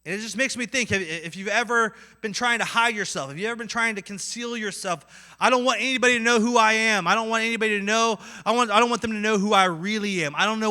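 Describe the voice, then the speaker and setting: Midwestern guy preaching, high voice, super bright and sharp, acts out the voices and gets loud then soft. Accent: Midwestern American. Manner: animated, acts out inner voices with swings in loudness. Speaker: pastor. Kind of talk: sermon.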